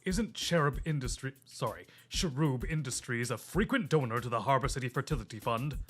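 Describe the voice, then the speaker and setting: A man's voice: medium pitch, animated, a little fast, a bit bright, faint music behind, lightly acted. A man playing a dogged, earnest, quirky police detective in an audio drama, probing and melodramatic. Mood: accusing.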